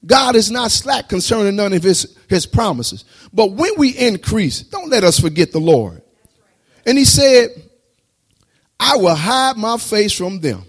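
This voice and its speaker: A man preaching a sermon, reading scripture slowly before preaching with rising intensity. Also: very animated, breathy edge. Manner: reads scripture slowly, then preaches rising in pitch and loudness.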